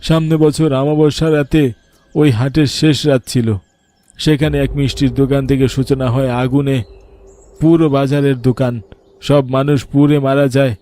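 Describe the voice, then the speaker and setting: A man's voice: medium pitch, level tone, normal speed, dark tone, natural voice. A man voicing a protective older uncle in a cartoon story, with a grave, admonishing manner. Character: concerned older uncle, stern yet protective.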